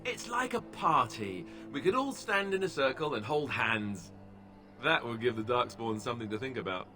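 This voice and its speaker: A man's voice: medium pitch, animated, moderate pace, pushed and bright. Young male fantasy game warrior: wry, joking, friendly, very varied intonation. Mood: sarcastic. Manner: sarcastic, joking. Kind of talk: video game dialogue.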